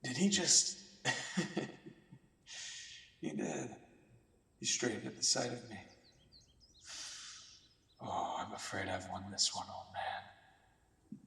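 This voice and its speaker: A man's voice: on the low side, sing-song, takes it slowly, vocal fry, long pauses, sharp tone, heavily acted. A man playing a self-assured new doctor with a wry, smug manner in a radio drama. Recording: echoey, distant from mic, music underneath.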